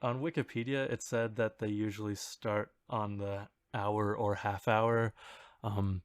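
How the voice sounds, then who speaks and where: A man co-hosting a podcast, explaining things in an informal, halting way. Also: lowish voice, flat delivery, no echo, clean studio sound. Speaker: podcast co-host. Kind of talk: casual explanatory podcast chat.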